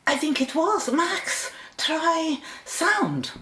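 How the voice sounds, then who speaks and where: A focused research scientist in a radio drama, giving brisk instructions. Her mid-pitched voice moves in a lively way, with room echo around it. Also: exaggerated voice. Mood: intrigued. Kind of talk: radio play acting.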